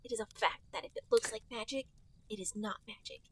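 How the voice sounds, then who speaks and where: A man's voice: high voice, fast, fairly bright tone, slightly rough, voice pushed up. A man voicing an earnest young male fox who lectures matter-of-factly like a know-it-all in a fan fiction reading. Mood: earnest lecturing.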